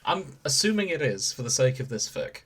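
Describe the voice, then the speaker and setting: A British man with a medium-pitched voice chats as a podcast co-host. He riffs jokily, swears freely and drifts off into tangents in a casual, conversational way. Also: big pitch swings, fairly quick, fairly bright tone, husky. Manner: sweary, jokey, given to tangents. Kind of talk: casual comic banter.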